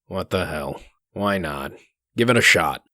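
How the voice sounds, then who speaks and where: Man acting very deep, menacing enforcer. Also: expressive, a little slow, bright, forceful tone, no room sound, studio quality, exaggerated voice. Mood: impatient, curt. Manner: curt, threatening, coolly controlled. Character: gruff, menacing wolf sheriff in human form, cold and commanding. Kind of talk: comic dub voice acting.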